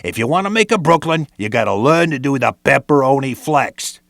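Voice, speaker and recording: A man's voice: mid-range voice, animated, brisk, creaky, fairly bright tone, dry recording, clean studio sound, faint music behind. A man narrating a scripted training video in a streetwise tough-guy patter.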